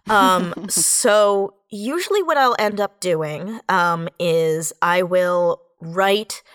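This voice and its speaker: A woman's voice: medium pitch, fairly bright tone, no echo, clean studio sound. A woman writer chatting on a podcast panel, explaining things in a thoughtful way. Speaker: podcast guest, audio drama writer. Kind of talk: casual panel chat.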